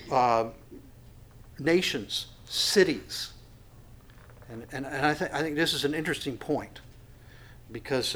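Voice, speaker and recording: A man's voice: a little high, a bit bright, slight background noise. A man in his sixties teaching a Bible study in a folksy, self-deprecating way, with many fillers and restarts. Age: 60s. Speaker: pastor.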